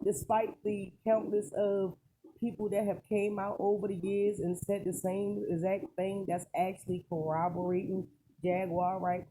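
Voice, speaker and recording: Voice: medium pitch, warm tone. A commentator giving casual, rambling opinions in an emphatic, colloquial way, often throwing in rhetorical questions. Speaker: online celebrity news commentator. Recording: clearly noisy recording with clicks.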